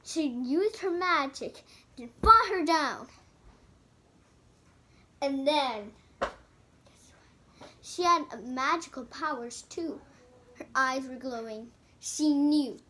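Child storyteller, high voice, dramatic and halting, making up a toy fairy tale at an unhurried, slow pace. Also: lively intonation, bright, forceful tone. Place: indoors in a room at home.

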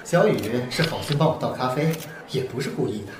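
A man's voice: mid-range voice, expressive, brisk, very noisy, natural voice. A man acting as an established pop singer in a radio drama, soothing and magnanimous in manner. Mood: composed, magnanimous. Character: established male pop singer, gentle and forgiving in public. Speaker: voice actor.

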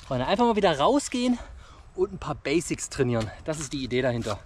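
Male outdoor vlogger, 30s, fairly high voice, casual matey how-to delivery to camera, forest recording with clear background noise. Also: very animated, quick pace. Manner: colloquial, chummy address to viewers. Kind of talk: casual bushcraft how-to vlog, talking to camera.

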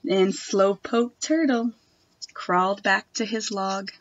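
A young woman reading a picture book aloud to children in a gentle, expressive manner. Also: medium pitch, slow pace, no room sound, dull audio. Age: young adult. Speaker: storytime reader. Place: wood-panelled room at home.

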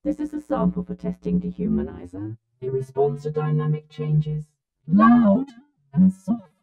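Robotic processed woman, swooping pitch. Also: sing-song, measured pace, clean tone, narrow, tinny audio, soft background music. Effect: robotic vocoder and ring modulator processing. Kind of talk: scripted test sample narration.

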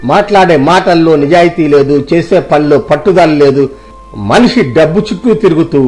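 A kindly, philosophising village uncle of middle age, played by a man, from a moral story drama. His voice sits rather high for a man, and he reassures and moralizes earnestly. Soft music plays behind him.

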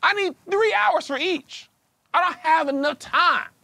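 A man's voice: very high voice, unhurried, gravelly, slight background noise. An American man doing casual, exasperated comedic complaining as a contestant. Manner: animated, exasperated. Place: podcast studio.

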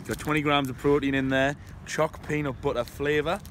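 A man's voice: fairly high pitch, fairly even intonation, normal speed, a bit bright. A playful young man doing a mock product review to a phone camera outdoors at night. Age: young adult. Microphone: phone camera held close. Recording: noisy street with traffic.

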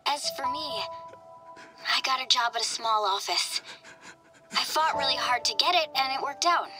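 A woman's voice: sits high, normal speed, music in the background, close to an everyday voice. An actress playing an earnest, caring young woman in a video game drama, with a hesitant, heartfelt delivery. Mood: fond, hopeful. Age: young adult.

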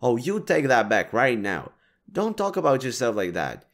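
A man playing a kind, reassuring young stranger in an intimate audio roleplay, speaking gently, considerately and a little hesitantly. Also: medium pitch, very animated, moderate pace. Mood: comforting. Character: kind, reassuring young male stranger helping a distressed girl.

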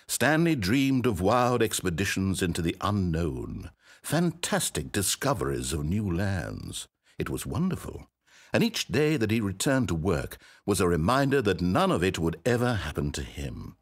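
An actor playing an omniscient game narrator, wry and theatrical. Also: male voice, full character voice. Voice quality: crisp measured delivery, very animated pitch. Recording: dry close studio voice.

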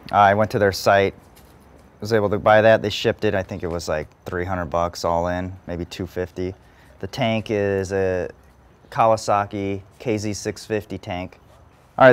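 A man's voice: on the low side, smooth. A man talking casually and informally as he shows off his motorcycle. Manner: informal, plain-spoken. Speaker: motorcycle owner. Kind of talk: casual show-and-tell.

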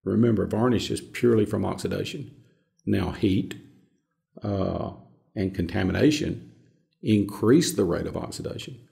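A man's voice: deep voice, normal speed, gravelly, mellow. A middle-aged man explaining technical points emphatically in a panel discussion, repeating key words. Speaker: filtration subject matter expert. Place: small conference room, indoors.

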